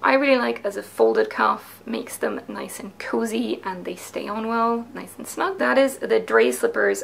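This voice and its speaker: A woman in her thirties talks through her knitting patterns at a table in a small home studio. Her voice sits slightly high yet drops into heavy, gravelly fry. She explains each technique step by step with an eager, chatty manner. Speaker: knitwear designer presenting her patterns. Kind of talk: casual explanatory video talk.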